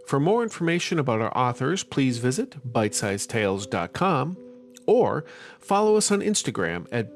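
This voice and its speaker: A man hosting a story podcast, giving an author's casual notes and show credits. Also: mid-range voice.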